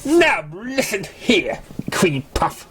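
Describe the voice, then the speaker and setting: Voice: mid-range voice, big pitch swings, extremely slow, fairly bright tone, slight background noise, voice pushed up, exaggerated voice. A narrator voicing a brash, protective young pony who threatens and insults cockily in a storybook reading. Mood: threatening.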